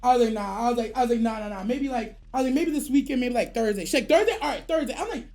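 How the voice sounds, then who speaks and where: A woman's voice: fairly high pitch, lively intonation, very fast, a little mellow. A woman streamer telling a casual story in profane slang, acting out both sides of the dialogue.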